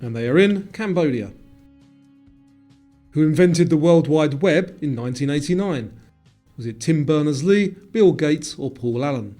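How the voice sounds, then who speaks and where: A man's voice: on the high side, animated, measured pace, warm tone. A man presenting a quiz as scripted narration, reading out questions and answers. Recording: music bed under the voice. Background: music.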